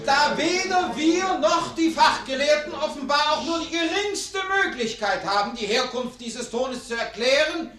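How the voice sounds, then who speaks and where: An actor playing a formal, agitated parliamentarian making a forceful speech in a radio play, in an echoey chamber. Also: male voice, very high-pitched, full character voice. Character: agitated male parliamentarian defending silence in debate. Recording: echoey chamber, distant from mic.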